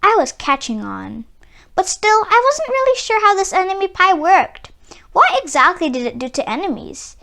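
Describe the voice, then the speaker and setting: Female children's book reader, bright and lively, theatrical storytelling with emphatic key words. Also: extremely high pitch, moderate pace, creaky. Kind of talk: expressive read-aloud storytelling.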